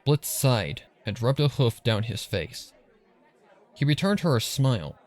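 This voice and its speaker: A man's voice: mid-range voice, sing-song, unhurried, a little mellow, some chatter behind. A man reading scripted dramatic story narration for a fan fiction.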